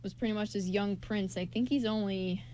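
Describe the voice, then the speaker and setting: A woman with a mid-pitched voice chats casually as the co-host of a radio show. Her talk is informal and rambles along. The recording is amateur, with some light noise behind her. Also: steady tone, moderate pace, a little mellow. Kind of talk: casual chat.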